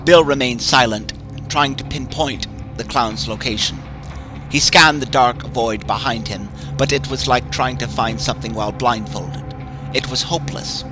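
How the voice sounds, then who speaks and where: A man giving a measured, suspenseful dramatic reading of a horror story. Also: medium pitch. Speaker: narrator. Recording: clear music bed under the voice.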